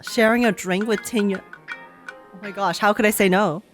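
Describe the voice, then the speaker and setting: A woman's voice: mid-range voice, normal speed. A woman giving casual, chatty, rambling reaction commentary as she streams a game. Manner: chatty, rambling, animated asides. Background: faint game music.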